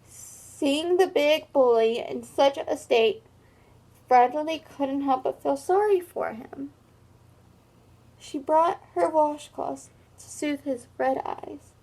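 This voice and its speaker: Female read-aloud teacher, high-pitched and unhurried, expressive narration with plain explanatory asides for children. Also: low-quality recording.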